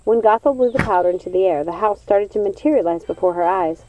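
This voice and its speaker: A woman reading a storybook aloud as an expressive audiobook narrator. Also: mid-range voice, quick pace, slight background noise, poor audio.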